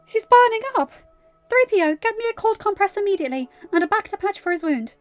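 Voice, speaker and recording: Woman playing an anguished young noblewoman, high and emphatic. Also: animated, fast talker, dry recording, highs cut off, soft background music. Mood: urgent. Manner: desperate, emphatic. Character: anguished, pregnant young noblewoman, tender and commanding.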